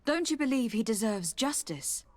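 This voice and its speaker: Brief: aristocratic envoy, very lively pitch movement, formal, courteous, in command, game dialogue. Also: female voice, normal speed, no room sound. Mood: pressing. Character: poised, commanding young noblewoman diplomat. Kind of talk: video game character acting.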